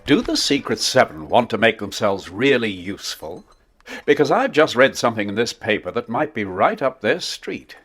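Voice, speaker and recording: Father, middle-aged, slightly husky, lively pitch, encouraging, good-humoured, audiobook drama. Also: moderate pace, soft background music. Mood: helpful, teasing. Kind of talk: audiobook drama acting, reading a newspaper aloud.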